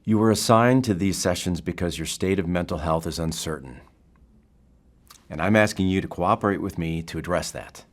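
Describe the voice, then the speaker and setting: An actor playing a calm, probing bureau therapist in an audio drama, speaking in a formal, deliberate way. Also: male voice, low-pitched, close to an everyday voice. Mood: firm, measured.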